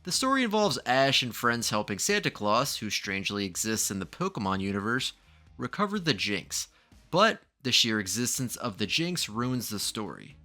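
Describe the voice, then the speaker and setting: A man's voice: medium pitch, very animated, normal speed, sharp tone, faint music behind. A man reading scripted documentary narration with dramatic, ominous emphasis.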